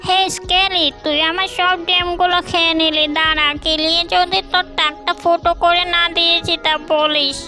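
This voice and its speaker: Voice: very high voice, flat delivery, moderate pace, hardly any pauses, pushed and bright. A performer voicing a greedy old female demon witch who grumbles and threatens in a children's cartoon. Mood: indignant. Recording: children's music behind voice.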